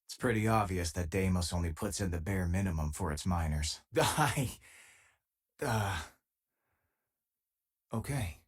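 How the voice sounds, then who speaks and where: An actor playing an easygoing, wry spacefaring miner and loyal companion in a video game, with a husky voice and a casual, dry-humoured way of talking. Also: male voice, fairly low pitch, fairly bright tone, heavily acted. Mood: relaxed, wry. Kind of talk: scripted game voice lines, natural conversational delivery.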